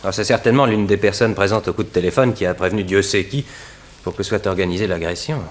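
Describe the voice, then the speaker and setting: Male actor as fast-talking sidekick, lowish voice, obliging, brisk, sixties radio detective drama. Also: lightly acted. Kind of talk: 1960s French radio detective serial acting.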